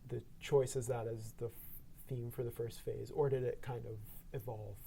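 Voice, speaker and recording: Man, podcast panelist; dark, gravelly voice, hesitant questioner. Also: medium pitch, level tone, moderate pace, a little hiss or hum. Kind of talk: casual round-table discussion.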